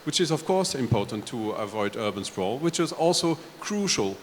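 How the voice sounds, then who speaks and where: A man from Belgium on a discussion panel, laying out his points one step at a time in a halting way. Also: mid-range voice, very animated. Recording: echoey hall, faint background noise.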